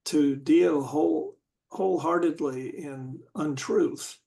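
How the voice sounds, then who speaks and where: A man in a casual group discussion, talking haltingly and searching for words with many restarts. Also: on the high side, vocal fry, warm tone.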